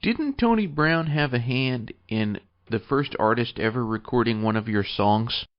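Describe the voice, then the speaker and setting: A man's voice: mid-range voice, animated, unhurried, lo-fi sound. A man hosting an interview, giving a formal introduction and putting prepared questions to his guest. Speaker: interview host.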